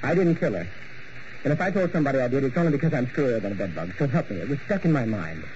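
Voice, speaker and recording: A man's voice: medium pitch, animated, racing, darkish tone, lightly acted. A man playing an unstable, dreamy and grandiose ballet dancer, theatrical and intense in 1940s radio mystery style. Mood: troubled, dreamy. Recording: muffled, hissy old radio broadcast.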